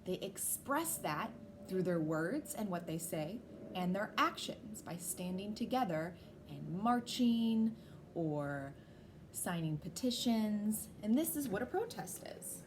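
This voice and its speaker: A woman's voice: medium pitch, sing-song, takes it slowly. A woman teacher reading aloud to young children and explaining things simply as she goes. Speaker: teacher reading a picture book to a class. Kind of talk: read-aloud with explanatory asides. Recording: noisy background.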